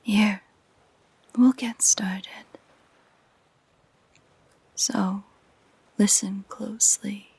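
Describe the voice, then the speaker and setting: A woman voicing a lonely, possessive female siren in a soft, breathy whisper, coaxing and intimate, for a roleplay audio drama. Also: mid-range voice, steady tone, no echo, heavily acted. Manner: slow, gentle, intimate.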